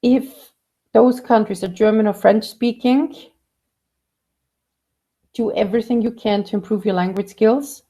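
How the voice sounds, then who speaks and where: Casting note: woman, career coach, warm mellow tone, chatty and loose with "um"s and restarts. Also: mid-range voice, steady tone, measured pace, pauses often. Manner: conversational, frequent fillers and restarts. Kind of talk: live Q&A career advice.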